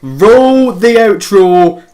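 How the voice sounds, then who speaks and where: A man hosting a let's-play video, reacting in casual chat with slow, expressive remarks. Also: high voice. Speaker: channel host.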